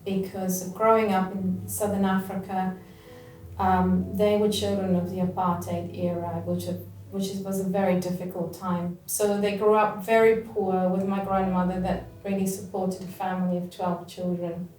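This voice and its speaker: A woman's voice: mid-range voice, flat delivery, normal speed, a little mellow. A woman from Namibia, fondly recalling her upbringing in a documentary interview. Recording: echoey distant mic, faint music.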